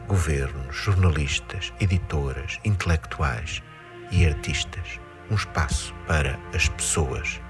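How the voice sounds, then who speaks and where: Um homem fazendo a narração roteirizada de um vídeo promocional. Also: male voice, extremely low pitch. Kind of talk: scripted narration. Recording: music behind the voice. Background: music.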